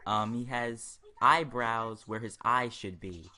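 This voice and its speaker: A man's voice: medium pitch, slow, bright, forceful tone. A man giving casual let's play commentary on a video game, in a hesitant, rambling, stop-and-start way. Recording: game music behind the voice. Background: video game music.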